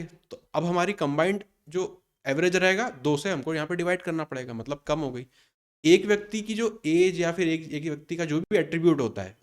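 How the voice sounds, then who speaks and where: A man in his thirties teaching an exam-prep maths lesson in Hindi mixed with English terms. He explains step by step and answers his own questions. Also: a little high. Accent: Indian Hindi with English terms mixed in. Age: 30s.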